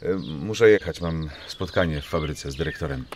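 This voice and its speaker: A man playing a busy, friendly father in a hurry, brisk and repeating himself, in a children's audio drama. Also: extremely low pitch, a little hiss or hum. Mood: hurried, polite.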